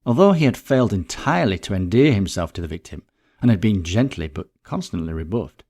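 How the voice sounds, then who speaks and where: A man's voice: lowish voice, a little mellow. A man reading a scripted murder mystery story as its narrator.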